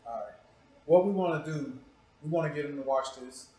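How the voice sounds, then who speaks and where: A man's voice: fairly high pitch, brisk, mellow. An American man hosting a casual interview in an informal, teasing, playful way. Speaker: show host interviewing a guest. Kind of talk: casual, joking interview chat. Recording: echoey, reverberant room.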